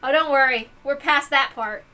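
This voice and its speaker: A woman hosting an expressive read-aloud of a visual novel, with casual asides of her own. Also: high-pitched, normal speed, faint music behind. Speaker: reader and host.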